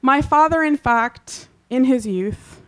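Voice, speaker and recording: Woman from Kansas, first language Urdu, reading her own essay. Slightly high voice, dry humor, clears her throat often. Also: takes it slowly, a bit bright. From Kansas, USA. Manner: reads wryly with offhand asides and frequent throat clearing. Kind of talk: reading aloud a personal essay at a book launch. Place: live literary event.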